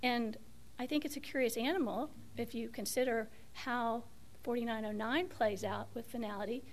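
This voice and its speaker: A woman attorney making an appellate oral argument while she is losing her voice, speaking in a measured, hesitant way with frequent restarts. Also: a little high, fairly even intonation, slight background noise. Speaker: attorney arguing an appeal. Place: courtroom.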